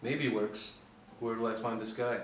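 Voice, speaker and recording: A man's voice: medium pitch, fairly even intonation, a little slow, close to an everyday voice. A man playing a cool, sly undercover pilot in a screenplay read, speaking in a clipped, insinuating, matter-of-fact way. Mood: sly, suggestive. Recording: echoey room, distant mic, faint noise.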